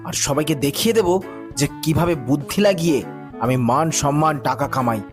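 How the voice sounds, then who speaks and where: A man's voice: a little high, a little fast, close to an everyday voice. A man voicing a cunning, boastful barber's son who poses as a priest in a cartoon moral story, theatrical and self-important. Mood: indignant, determined. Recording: music bed behind the voice.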